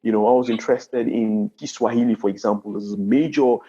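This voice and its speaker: Nigerian-accented guest, middle-aged, mellow and a bit husky, methodical with many restarts, lo-fi sound. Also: male voice, fairly low pitch, fairly even intonation, quick pace. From Nigeria (Lagos). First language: Yoruba. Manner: explains step by step, with many restarts and repeated words. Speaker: guest, game developer. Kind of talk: interview conversation.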